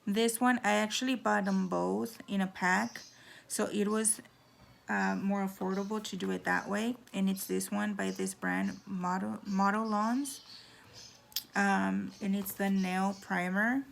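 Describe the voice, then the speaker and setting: A woman with a hoarse, raspy voice giving a casual at-home nail how-to, chatty with lots of fillers. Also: mid-range voice, steady tone, unhurried, a little hiss or hum. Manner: chatty, filler-heavy, drops into whispers. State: hoarse from talking. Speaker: nail tutorial vlogger.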